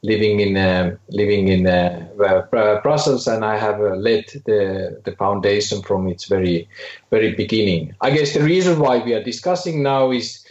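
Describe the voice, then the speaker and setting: A man from Finland with a Finnish accent, a think tank director speaking as a podcast guest in a halting way, with many fillers and restarts. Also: on the low side, a little mellow, echoey room, dull audio. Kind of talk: podcast interview.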